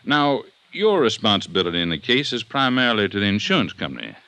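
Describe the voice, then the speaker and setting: Deep-voiced cautious official. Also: male voice, very animated, pushed and bright, dry recording, full character voice. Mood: probing, guarded. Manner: deliberate, formal, lawyerly phrasing. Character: cautious, courteous, suspicious male deputy investigator from the DA's office. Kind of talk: 1950s radio drama acting.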